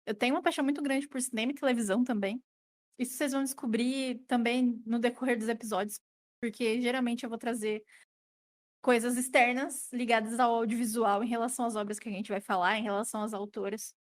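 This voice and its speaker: Brief: thirtyish woman co-host, slightly high voice, dry playful humor. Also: fairly even intonation, quick pace. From São Paulo, Brazil. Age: 30s. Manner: wry, teasing humor. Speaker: podcast co-host. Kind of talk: casual podcast chat.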